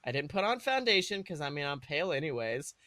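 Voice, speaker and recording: A man's voice: fairly high pitch, very animated, fast, sharp tone, dry recording. A man co-hosting a podcast, reading a story aloud in a theatrical, mock-earnest way. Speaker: podcast co-host. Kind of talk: comic dramatic read-aloud of fan fiction.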